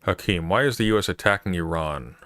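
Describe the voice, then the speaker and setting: Man, very deep voice, exasperated reaction commentary, emphatic, repeats himself, dry sound. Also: fairly quick, fairly bright tone, no echo. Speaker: streamer reacting to videos.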